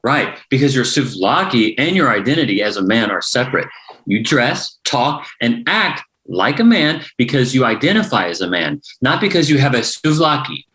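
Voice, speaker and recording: A man's voice: medium pitch, very animated, normal speed, a bit bright, low-quality recording. A man acting an argumentative, indignant progressive son at a family dinner, incredulous and combative, in a comedy table read. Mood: insistent.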